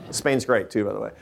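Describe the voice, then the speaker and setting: A man's voice: on the low side, gravelly, background noise. A man giving earnest, emphatic answers to audience questions after a talk, often restarting his sentences. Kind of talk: lecture Q&A answers.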